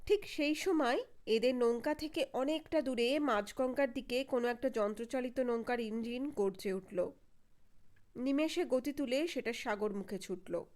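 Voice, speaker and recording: Female narrator for detective audio fiction, fairly high voice, dramatic scripted storytelling. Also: a little mellow.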